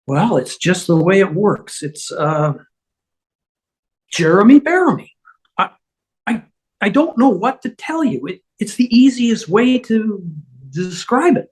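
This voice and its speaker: A woman reading the part of a flustered, apologetic male otherworldly schemer, husky and halting as she stammers through explanations. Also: medium pitch, very animated, darkish tone. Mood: flustered explaining. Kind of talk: amateur script table read.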